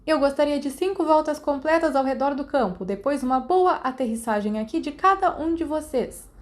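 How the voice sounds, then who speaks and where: A woman voicing a stern silver-haired witch who teaches flying, loudly barking brisk orders in an audiobook. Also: high-pitched, voice pushed up, close to an everyday voice. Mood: brisk commanding. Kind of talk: audiobook character voice.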